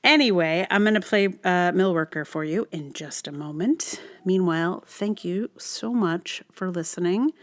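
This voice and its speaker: A woman's voice: on the low side, normal speed, few pauses. A woman hosting a solo podcast in a casual, rambling way, often thinking aloud in unfinished phrases. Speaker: podcast host.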